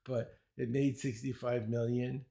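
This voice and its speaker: A man's voice: medium pitch, level tone, vocal fry, mellow. Wisecracking older Brooklyn man. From Brooklyn, New York. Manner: wisecracking, chuckles at his own jokes, rapid run of credentials. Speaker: guest, speed-reading teacher. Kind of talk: casual interview answers.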